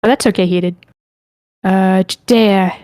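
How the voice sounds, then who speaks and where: A woman's voice: mid-range voice, steady tone, a little slow, a little mellow, no echo. A woman hosting an online feedback session, informally moderating and reading chat aloud with muttered asides. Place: online group meeting.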